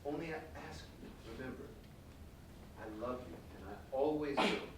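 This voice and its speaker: A man's voice: mid-range voice, expressive, moderate pace, natural voice. A man playing a storyteller who voices a generous, forgiving father in a theatrical staged reading. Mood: measured, tender. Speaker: narrator, also voicing the father. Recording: very echoey stage, distant mic, background noise.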